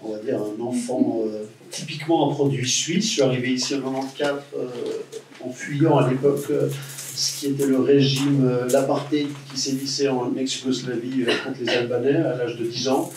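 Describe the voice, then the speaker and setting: A man's voice: mid-range voice, steady tone, normal speed, a little mellow. A man, introducing himself as a candidate with many hesitations. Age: 40s. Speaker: election candidate. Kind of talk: self-introduction. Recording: echoey hall, distant mic, faint noise.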